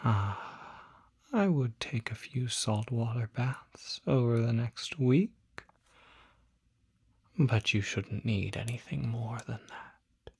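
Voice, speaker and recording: Performer as courteous demon physician, ASMR roleplay, breathy whisper, slow measured pace, formal diction. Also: medium pitch, expressive, heavily acted. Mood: gentle, courteous. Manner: formal, unhurried, precise diction. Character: polite, soft-spoken demon doctor treating curses.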